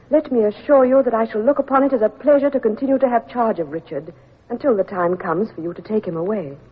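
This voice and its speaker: Brief: woman as composed aristocratic British lady, slightly husky voice, tinny broadcast sound. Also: mid-range voice, close to an everyday voice. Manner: gracious and composed. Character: gentle, formal aristocratic English lady. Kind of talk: 1950s radio drama letter reading. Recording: tinny old radio broadcast recording.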